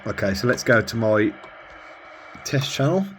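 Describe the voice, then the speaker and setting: A man's voice: medium pitch, animated, measured pace. A man giving a casual hands-on demonstration of gear, rambling a little and thinking aloud as he works. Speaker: radio hobbyist presenter. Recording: clear background noise.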